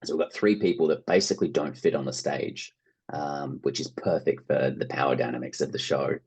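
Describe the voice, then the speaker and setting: A man's voice: very deep voice, fairly even intonation, a little fast, darkish tone, slightly rough. An Australian man speaking casually and enthusiastically as an interview guest, in an informal conversational way. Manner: enthusiastic, informal, acts out imagined reactions. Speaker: interview guest, actor and theatre producer.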